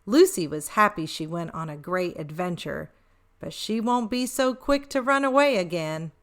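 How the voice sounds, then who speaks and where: A woman reading a story aloud for children as host, expressive and speaking directly to young listeners. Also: on the low side, moderate pace.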